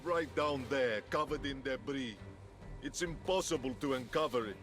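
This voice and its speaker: An actor voicing a sulky, self-pitying war god trapped in a chicken's body, melodramatic and bitterly sarcastic, in a video game cutscene. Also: male voice, mid-range voice, moderate pace, lightly acted. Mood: defeated, dismissive.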